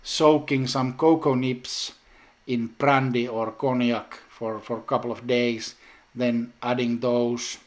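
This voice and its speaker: A man whose native language is Finnish, talking casually in a home brewing vlog update, with many pauses and restarts. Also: mid-range voice, level tone. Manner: slow, hesitant, many ums and restarts.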